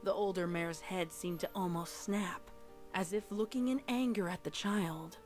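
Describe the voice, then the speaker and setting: A woman's voice: fairly low pitch, moderate pace. A woman giving a scripted, dramatic narration of a story. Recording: loud music behind voice. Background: music.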